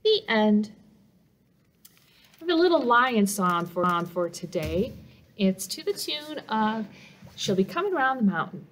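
A middle-aged woman, a children's librarian reading a picture book aloud in simple, deliberate phrases for young listeners. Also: medium pitch, big pitch swings. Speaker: children's librarian and storytime host. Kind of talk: children's storybook read-aloud. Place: small office room indoors.

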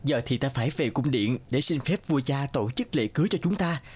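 Gallant, earnest young prince. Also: male voice, mid-range voice, animated, fairly quick, darkish tone, husky, soft background music. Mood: tender, earnest. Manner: earnest, courtly.

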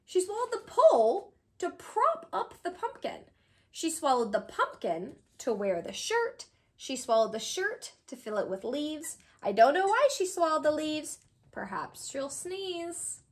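Woman in her 30s doing a kids read aloud, high pitched, really animated and sing-songy. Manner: expressive, sing-song, with drawn-out pauses for young listeners. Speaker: read-aloud host, tutor. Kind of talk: children's picture book read-aloud. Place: small indoor room with bookshelves.

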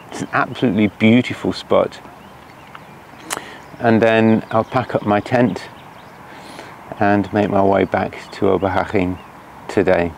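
A man talking casually to the camera from a lakeside campsite, unhurried and hesitant, with many fillers. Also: lowish voice, vocal fry, darkish tone, a little hiss or hum. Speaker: cycling vlogger. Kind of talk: casual outdoor vlog. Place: lakeshore campsite outdoors by mountains. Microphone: camera at a distance.

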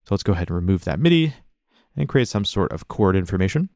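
A man narrating a software tutorial as an instructor, in a casual, conversational, explanatory manner, close to the mic. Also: deep voice, sing-song, fast, no echo. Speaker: music production tutorial instructor.

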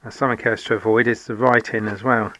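A man in his fifties presenting a casual hands-on how-to vlog, informal and low-key, thinking aloud as he works. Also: level tone, quick pace, bright, forceful tone, muffled recording. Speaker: hobbyist presenter. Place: at home, indoors and in a workshop. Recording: noisy home-made recording with clicks.

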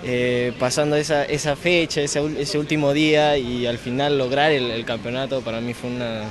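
A man's voice: medium pitch, fairly even intonation, normal speed. A young man with a Peruvian accent, an athlete talking conversationally in an interview. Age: young adult. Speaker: bowling athlete. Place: large indoor bowling alley. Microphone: handheld interview microphone. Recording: busy bowling alley chatter.

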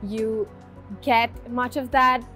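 A woman's voice: on the high side, steady tone, slow pace, pushed and bright, soft background music. A young woman art student answering interview questions with enthusiasm. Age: young adult.

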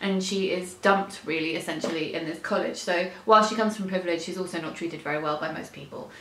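A woman's voice: lowish voice, steady tone, fast, a bit bright, slight background noise. A young woman in a casual, chatty book discussion, now and then voicing quoted thoughts. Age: young adult. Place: indoor room lined with bookshelves. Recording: roomy echo.

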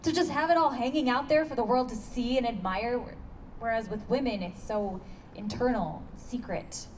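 Actress as young woman, twenties, sharp-tongued and challenging, higher-pitched, staged reading, distant sound. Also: normal speed, natural voice. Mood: wry, candid. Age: 20s. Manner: pointed, challenging, argumentative. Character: sharp, sardonic, bookish young woman confronting an older man. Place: outdoor stage against a block wall. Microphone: handheld stage microphone, heard from afar. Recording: distant handheld mic, noticeable background noise.

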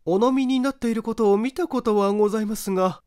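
A man's voice: high voice, fast, a little mellow, clear, resonant tone, dry recording, studio quality, voice pushed up, close to an everyday voice. A man voicing a polite, hesitant neighbour housewife giving testimony in an audiobook mystery. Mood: polite, unsure.

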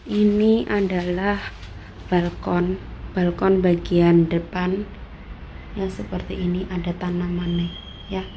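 A young woman walks through an apartment with her phone, chatting casually as she points out each room. Her voice is mellow and fairly flat, and at times she drops to a hush. A faint hiss of room ambience sits under her words. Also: fairly low pitch, takes it slowly, pauses often. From Indonesia. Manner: chatty, repeats "seven seperti ini", often drops to a whisper. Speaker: domestic worker giving a house tour. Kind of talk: casual house-tour vlog. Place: apartment in Taiwan, indoors. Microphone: handheld phone filming while walking.

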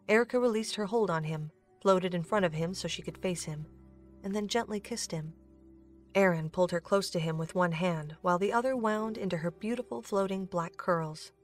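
A woman reading scripted narration for an audio drama, as a storyteller. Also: mid-range voice.

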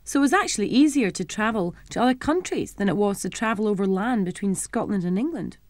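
A young adult woman presenting and narrating a schools history programme, explaining things clearly and simply for children. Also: medium pitch, lively intonation, quick pace, darkish tone, no echo.

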